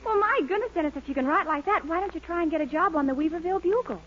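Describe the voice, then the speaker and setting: A woman's voice: extremely high pitch, racing. An actress playing a sweet, encouraging young woman, earnest and gushing, in a 1940s radio comedy. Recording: muffled, hissy 1940s radio recording.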